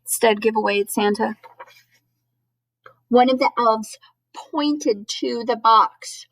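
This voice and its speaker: A woman's voice: a little high, slow, a bit bright, dry recording, rough recording. A woman teacher reading a children's storybook aloud, expressively, with chatty asides that explain things simply to young listeners. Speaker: schoolteacher.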